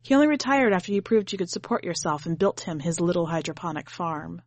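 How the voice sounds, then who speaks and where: A woman's voice: lowish voice, quick pace, no echo. A woman reading literary fiction as scripted narration for a podcast. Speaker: podcast host and story reader.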